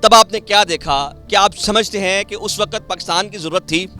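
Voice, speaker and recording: A man's voice: sits high, a little fast, pushed and bright, soft background music. A man working as a news reporter conducts a respectful TV interview with an elderly guest, addressing him as sir. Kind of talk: TV interview with introductions.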